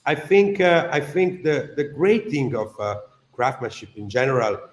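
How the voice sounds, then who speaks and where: Male interviewer, mid pitch, halting delivery, roomy video-call sound. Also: sing-song. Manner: hesitant, many "uh" fillers and restarts. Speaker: interviewer for a craft school. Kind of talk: interview questions and comments.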